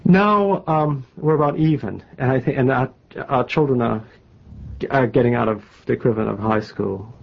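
A man in his forties with a gravelly, husky voice, answering an interviewer's questions with a faintly English-tinged American accent. He stops and restarts often and talks with wry asides. The interview tape sounds dull and muffled. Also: mid-range voice. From New England, United States. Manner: halting, many restarts, wry. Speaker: novelist guest.

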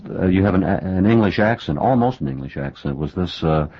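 Deep Midwestern man asking brief questions. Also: animated, fairly quick. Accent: Midwestern American. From American Midwest. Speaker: interviewer. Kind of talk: interview questions. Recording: muffled lo-fi interview tape.

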